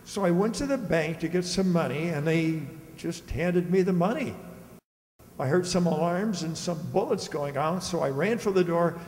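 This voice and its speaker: A man's voice: fairly high pitch, normal speed, a little mellow, close to an everyday voice. A man playing a matter-of-fact middle-aged husband as a contestant on an old-time radio quiz show. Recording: very echoey hall.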